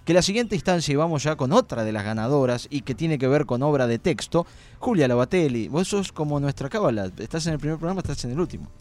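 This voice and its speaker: A man's voice: on the high side, lively intonation, quick pace, darkish tone. An Argentine man hosting an informal radio interview, chatty and loose in the way he phrases things. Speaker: radio host.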